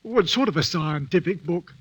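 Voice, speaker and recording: An actor playing an anxious, uneducated, sickly male convict, haunted and volatile, with a husky voice and rough, pleading speech in old-time radio drama style. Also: high voice, animated, moderate pace, a bit bright, full character voice. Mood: suspicious, anxious.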